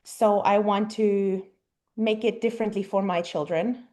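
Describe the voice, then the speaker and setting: A German-born woman in her thirties, a native German speaker, answering interview questions thoughtfully with frequent pauses and ums. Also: mid-range voice, steady tone, mellow. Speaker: guest mother. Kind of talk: casual interview conversation.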